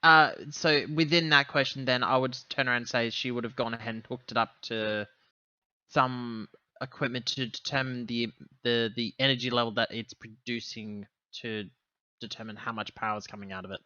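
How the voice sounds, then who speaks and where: A man's voice: mid-range voice, fairly even intonation, moderate pace, bright, forceful tone, no room sound, highs cut off. A man chatting casually as a player in a tabletop game, talking through his moves in a wordy, roundabout way.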